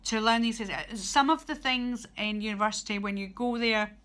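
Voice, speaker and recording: A woman's voice: on the high side, moderate pace, fairly bright tone. A young Scottish woman, a student teacher, giving casual advice in a vlog with lots of ums and restarts. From Scotland. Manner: chatty, hesitant, many ums and restarts.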